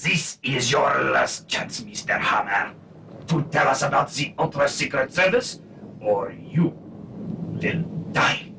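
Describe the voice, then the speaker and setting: A man's voice: medium pitch, animated, slow, gravelly. A man acting as villainous interrogator, melodramatic, menacing, far from mic, noisy recording, radio drama. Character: threatening male interrogator, melodramatic villain demanding answers. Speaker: actor in a radio play. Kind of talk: comic radio play acting.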